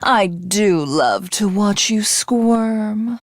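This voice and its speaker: A haughty alien villainess for a video game. Her commanding, theatrical voice swings widely in pitch and takes its time over each grandiose, taunting phrase. The recording is close and dry. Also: animated, no echo. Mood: smug, menacing. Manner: imperious, grandiose, taunting, drawn-out delivery. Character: haughty, ruthless alien villainess craving godhood.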